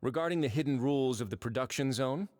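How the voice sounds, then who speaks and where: An actor playing a composed, sardonic lord of a fortress in a video game, speaking in a measured, wry, politely threatening way. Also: male voice, mid-range voice, a little mellow, lightly acted. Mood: firm, challenging. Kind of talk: English video game voice acting.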